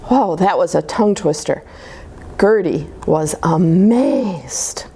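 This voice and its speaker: Slow, animated woman reading a children's story. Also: fairly low pitch, noisy background. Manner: expressive, deliberate, drawn-out delivery for young children. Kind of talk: read-aloud picture book storytelling.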